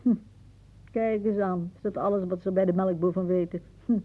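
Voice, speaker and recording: An actress playing a wry older aunt in a radio play, musing dramatically and haunted by death. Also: female voice, medium pitch, steady tone, moderate pace, natural voice. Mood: dry, sardonic. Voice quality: husky. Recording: muffled, phone-like old radio recording.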